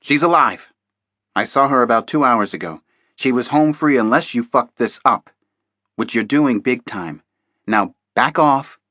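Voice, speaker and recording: A man voicing a cold, heavy-set kidnapper's contact man who talks flatly and offhandedly about killing a girl. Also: lowish voice, a little fast, no room sound, muffled recording. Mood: cold menace. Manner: flat, menacing, offhand.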